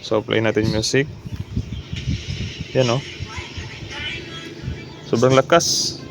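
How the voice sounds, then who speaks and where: A man's voice: mid-range voice, steady tone, slow, creaky, music in the background. A man giving a casual repair demonstration, explaining step by step and mixing in English terms. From Philippines. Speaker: repair technician demonstrating his work. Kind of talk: casual repair demo vlog.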